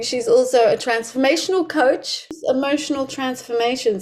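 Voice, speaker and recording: Higher-voiced woman hosting a podcast. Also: a little mellow. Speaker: podcast host. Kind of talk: podcast intro and casual interviewing.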